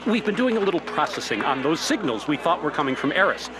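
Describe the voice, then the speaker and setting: Guy doing eager scientist in a radio drama, high voice that jumps all over, geeky technical talk. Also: fast. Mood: excited. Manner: technical, animated explaining. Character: eager, excitable male scientist reporting puzzling signals. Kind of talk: radio play dialogue.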